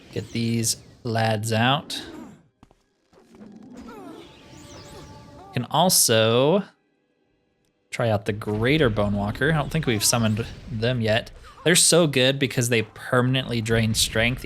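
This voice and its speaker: A man's voice: mid-range voice, fairly bright tone. A man giving casual, wry video game commentary, thinking aloud as he plays. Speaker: gaming video host. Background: game music.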